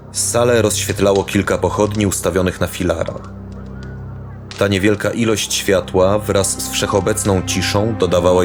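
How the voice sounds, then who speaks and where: Deep-voiced male fantasy narrator. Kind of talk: scripted dark fantasy narration. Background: music.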